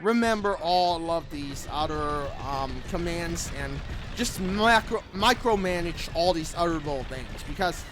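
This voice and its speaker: High-pitched man rambling over gameplay. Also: expressive, unhurried, background noise. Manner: rambling, repeats phrases. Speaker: gaming video creator. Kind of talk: casual rambling video commentary over gameplay. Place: room at home. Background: background music.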